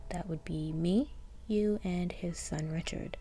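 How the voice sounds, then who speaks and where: A woman acts out a scheming mafia femme fatale in an audio roleplay. She speaks in a low, dark, breathy half-whisper, close to the mic, drawing her words out slowly with teasing, cold-blooded poise. Music plays faintly behind her. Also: fairly even intonation. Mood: cool, conspiratorial. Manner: slow, teasing, coolly callous. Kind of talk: intimate whispered roleplay acting.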